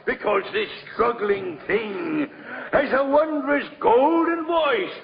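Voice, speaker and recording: Radio drama villain: a giant mocking bully, bombastic and cruel, slow delivery pitched very high. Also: male voice, expressive, vocal fry, heavily acted. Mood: mocking, boastful. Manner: bombastic, taunting, theatrical. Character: huge cruel bullying man mocking a captive. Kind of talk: old-time radio drama acting. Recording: muffled 1950s radio recording, crowd noise.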